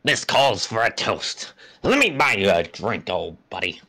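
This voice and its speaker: A man's voice: on the low side, big pitch swings, unhurried, sharp tone, full character voice. A man voicing a scheming, grumpy, pompous little cartoon fellow in a brash, indignant way. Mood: hearty.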